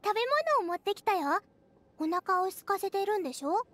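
Very high, sweet little girl. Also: brisk, very noisy. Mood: friendly. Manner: childlike, sweet, earnest. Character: kind, earnest little girl, innocent and brave. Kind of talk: scripted game voice acting.

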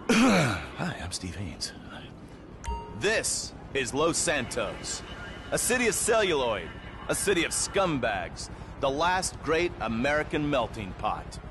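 A man's voice: medium pitch, wildly swooping pitch, slow, over music, exaggerated voice. An actor playing a vain, cocky male federal agent who presents his own TV show in a pompous, melodramatic way. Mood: self-important, dramatic. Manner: pompous, self-satisfied, melodramatic. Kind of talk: hard-boiled TV presenting and off-camera bragging.

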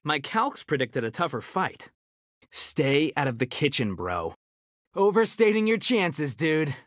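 Nerdy young american ninja turtle from a fighting game, cocky, super animated, dry close sound. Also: male voice, on the high side, a little slow, no room sound. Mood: cocky, quippy. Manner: nerdy, confident, quippy delivery. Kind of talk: video game character battle banter.

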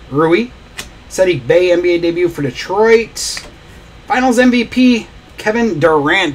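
A man's voice: fairly high pitch, a little hiss or hum, poor audio. A man hosting a live trading card break, opening packs and rattling off player names as he goes. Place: small indoor studio desk.

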